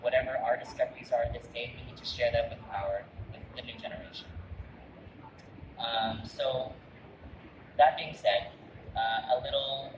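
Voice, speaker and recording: A man's voice: medium pitch, steady tone, moderate pace, long pauses. A man who grew up in Canada, telling a personal food story in an earnest, reminiscing way with frequent restarts. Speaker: chef giving a talk. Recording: roomy, distant mic with loud background noise.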